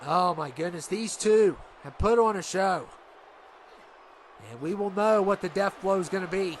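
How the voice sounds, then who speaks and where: A man with a stuffed-up nose doing rambling, excitable play-by-play for a video game wrestling show. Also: sits high, moderate pace, a little hiss or hum. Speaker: commentator.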